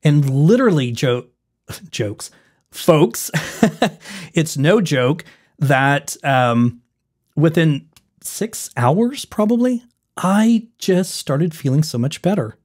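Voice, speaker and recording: A man with a somewhat high voice, a little hoarse, talks casually to his viewers. He rambles and corrects himself often as he explains. He keeps calling his audience folks. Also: big pitch swings, slow pace, a little mellow. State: slightly hoarse. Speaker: video host.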